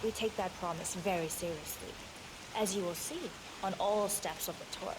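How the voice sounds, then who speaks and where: A woman playing a composed, businesslike guide at a secretive agency in a game, speaking in a formal, measured, official way. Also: fairly low pitch, noisy background, natural voice. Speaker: facility guide. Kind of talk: scripted game dialogue.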